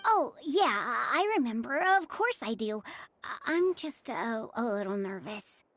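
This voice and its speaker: A woman voicing a nervous but determined young boy in a video game, with a high, childlike, earnest and hesitant delivery. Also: very animated, measured pace, fairly bright tone, no echo, heavily acted. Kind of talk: scripted game voice acting.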